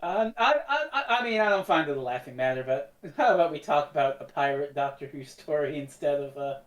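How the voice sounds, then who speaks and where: Female podcast co-host, lower-pitched voice, blunt and sweary, casual review chat. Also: lively intonation, brisk.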